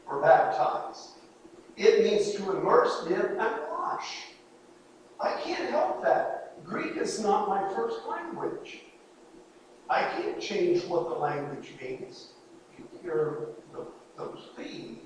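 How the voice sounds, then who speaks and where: A man's voice: high-pitched, slight background noise. A man preaching a sermon, earnest and pleading, pressing his points with emphatic repetition. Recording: echoey hall, voice from far off.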